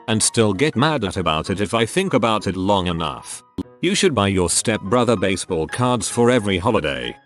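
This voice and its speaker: A man reading online stories aloud as scripted narration, steady with wry punchlines. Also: fairly low pitch. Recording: soft music underneath.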